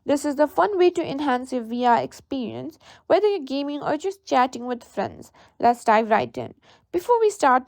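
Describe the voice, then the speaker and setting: A woman delivers scripted how-to narration in a high-pitched voice. The sound is dry and close, with very little room to it, as she takes the listener through instructions as a tutorial presenter. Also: darkish tone, no echo.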